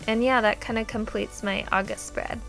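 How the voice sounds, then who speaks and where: A woman's voice: on the high side, steady tone, moderate pace, gravelly, a bit bright, soft background music. A young woman who sounds nasal as she gets over a cold, chatting casually with many ums and likes as she narrates her journal spread. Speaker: bullet journal vlogger.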